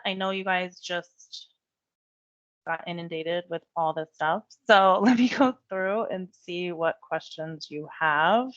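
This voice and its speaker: Lady walking thru a software demo, slightly low voice, a bit hoarse, dull lo-fi sound. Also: no room sound. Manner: chatty, step-by-step explaining. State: losing her voice. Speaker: real estate software trainer. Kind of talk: screen-share software tutorial.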